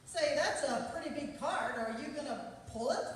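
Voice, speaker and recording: A woman's voice: high voice, expressive, normal speed. A woman playing a polite, curious small-town woman in a live radio play. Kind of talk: live radio theatre acting. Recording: echoey noisy hall, distant mic.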